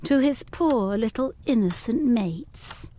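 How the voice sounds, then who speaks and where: An actress as a young governess in a gothic audio play, high-voiced and very expressive. She is earnest and protective, with formal, measured period phrasing and a searching, questioning way. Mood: dismayed. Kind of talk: audio drama acting of a gothic period story.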